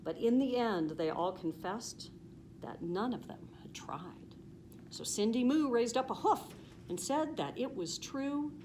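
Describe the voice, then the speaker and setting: An American woman reads a rhyming picture book for children. Her pitch swings widely and expressively, with an emphatic, sing-song lilt. There is light background noise behind her voice. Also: expressive, unhurried, darkish tone, poor audio. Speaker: police officer reading a children's story. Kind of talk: expressive children's storybook read-aloud.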